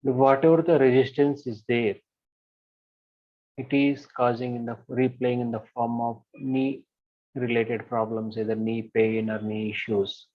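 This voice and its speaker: A man leading a guided body-scan meditation, giving gentle instructions and softly repeating affirmations. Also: medium pitch, level tone, unhurried. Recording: dull lo-fi recording.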